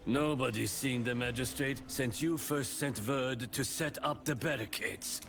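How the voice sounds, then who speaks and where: An actor playing a weary garrison soldier in a video game, talking to a superior in a familiar, plain-spoken way. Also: male voice, medium pitch, a little slow, creaky. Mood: relieved, wry. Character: weary male garrison soldier reporting to his commander. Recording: noisy game ambience behind voice.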